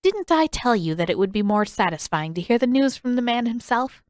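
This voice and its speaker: A woman's voice: fairly high pitch, big pitch swings, brisk, darkish tone, no echo, studio quality, voice pushed up. Woman playing a teasing, kindly old woman. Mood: smug, teasing. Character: amused, kindly, mischievous elderly woman healer.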